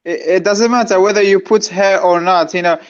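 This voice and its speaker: A man's voice: high voice, steady tone, brisk, smooth, lo-fi sound. A man in his twenties chatting playfully, often stumbling and repeating words. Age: 20s. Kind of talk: casual group chat.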